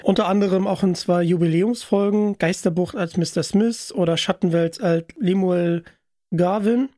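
A man's voice: sits high, fairly even intonation, moderate pace, warm tone, dry recording. A man hosting an interview in a studio, putting prepared questions in a friendly, conversational way. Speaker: interviewer, podcast host. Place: studio, close dry sound.

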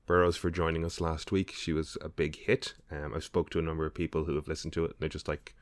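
A man's voice: rumbling low, little pitch movement, fast talker. A man hosting a podcast, reading an upbeat intro and leading casual chat. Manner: upbeat scripted intro, then loose banter. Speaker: podcast host.

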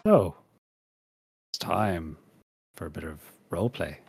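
A man's voice: low-pitched, animated, measured pace, vocal fry, loud music behind the voice. A man hosting a casual panel podcast, introducing segments in a dry, chatty way. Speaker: podcast host.

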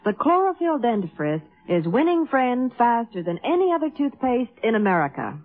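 A woman's voice: on the high side, sing-song, moderate pace. A woman reading a scripted 1950s radio commercial. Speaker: commercial announcer. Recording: tinny vintage 1950s radio broadcast.

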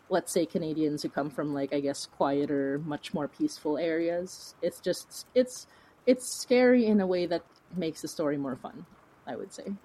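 A woman talking casually as an interview guest, in an informal, animated, rambling way with a giggly streak. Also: on the low side, rough recording. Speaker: podcast creator and writer being interviewed.

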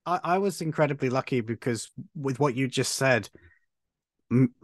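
Animated storytelling man from Cornwall. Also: mid-range voice, dry recording, studio quality. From Cornwall, England. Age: 30s. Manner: animated storytelling, frequent restarts. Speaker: podcast guest. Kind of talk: casual conversation.

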